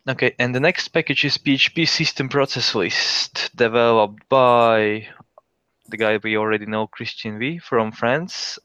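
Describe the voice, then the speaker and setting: A man hosting a relaxed tech chat, reading out items and musing aloud between them. His voice sits at an ordinary pitch and sounds close and dry. The audio is muffled and lo-fi. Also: measured pace, clear, resonant tone, no room sound. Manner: reads out listings, thinks aloud. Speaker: podcast host. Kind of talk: casual tech show chat. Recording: dull lo-fi sound.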